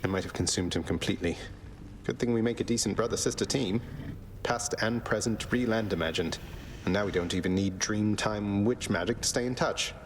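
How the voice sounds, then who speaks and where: A low-voiced young underworld prince played by a man in a fantasy game. He talks like a casual, sincere big brother, with faint noise in the background. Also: brisk, lightly acted. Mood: affectionate, sincere. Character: easygoing, affectionate young prince and older brother. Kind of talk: video game voice acting.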